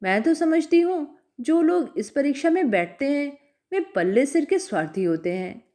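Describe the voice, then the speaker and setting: A young storybook girl, earnest and defiant, answers with bold scorn, voiced by a woman narrator. Her voice rises and falls with spirit, mellow in tone and pitched a little high. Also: sing-song, normal speed.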